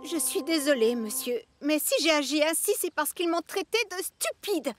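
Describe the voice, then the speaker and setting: Une locomotive espiègle, très aiguë. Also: female voice, sits high, lively intonation, moderate pace. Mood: apologetic. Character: plucky, mischievous young female steam locomotive. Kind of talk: French-dubbed children's cartoon acting. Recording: very loud music behind the voice.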